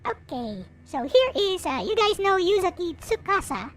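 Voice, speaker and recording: A woman hosting a live stream in casual chat, rambling along with frequent hesitant pauses. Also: extremely high pitch, very animated.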